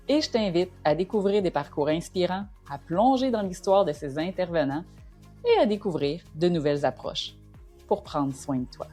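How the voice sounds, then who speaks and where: A woman who hosts a podcast. She reads a scripted intro and then welcomes her guest, backing the talk with frequent small agreements. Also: fairly low pitch. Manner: warm welcoming interviewer, frequent backchannels. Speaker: podcast host, hypnotherapist. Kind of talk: scripted intro, then casual interview hosting.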